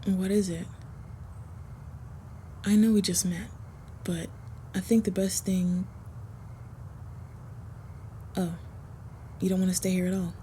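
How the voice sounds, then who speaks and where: A woman playing a protective, smitten female vampire in an intimate roleplay audio, speaking softly, gently and a little hesitantly. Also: lowish voice, level tone, measured pace, pauses often, slight background noise, lightly acted. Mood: gentle, awkward.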